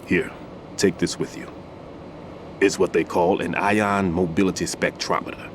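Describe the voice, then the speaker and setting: An actor playing a weary, methodical older bomb disposal expert in a video game, speaking in a measured, instructive way with a note of regret. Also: male voice, low voice, fairly even intonation, background noise. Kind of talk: video game cutscene voice acting.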